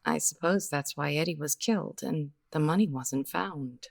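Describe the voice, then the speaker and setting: Woman playing radio-drama widow, deep for a woman, gravelly edge, world-weary, guarded, hesitant, bittersweet. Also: moderate pace. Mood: subdued, resigned. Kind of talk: 1950s radio detective drama acting.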